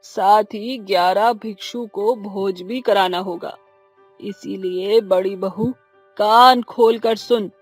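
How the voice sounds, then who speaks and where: A woman voicing a stern, weary older mother-in-law with a husky voice in a cartoon drama. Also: medium pitch, fairly even intonation, takes it slowly, soft background music. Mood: commanding. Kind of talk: Hindi cartoon drama acting.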